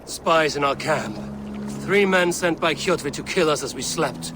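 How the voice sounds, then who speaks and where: An actor playing a tough, loyal young Viking warrior in a video game, terse and resolute in manner. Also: male voice, fairly high pitch, unhurried, background noise, lightly acted. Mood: wary, resolute. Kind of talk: dramatic video game acting.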